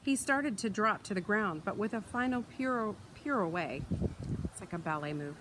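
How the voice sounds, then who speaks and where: A woman reading a children's book aloud in an expressive storytelling style, recorded outdoors. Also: mid-range voice, moderate pace. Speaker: read-aloud reader. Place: outdoors in wind. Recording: light outdoor wind and ambient noise.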